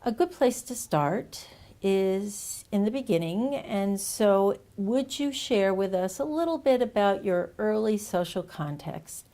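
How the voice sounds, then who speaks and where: A woman's voice: mid-range voice, lively intonation, slow pace, warm tone. A woman conducting an oral history interview, asking polite, encouraging questions.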